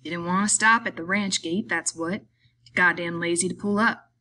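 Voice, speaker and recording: A woman playing a small, irritable, weary male migrant worker in an audiobook, scolding in rough, rustic speech. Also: fairly low pitch, moderate pace, pushed and bright. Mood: angry.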